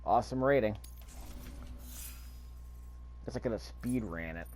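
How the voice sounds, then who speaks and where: A man's voice: on the low side, unhurried, a little mellow, slight background noise. A man giving casual, offhand let's play commentary, muttering to himself as he plays. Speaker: video game player recording commentary. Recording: game sound under the voice. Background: video game ambience.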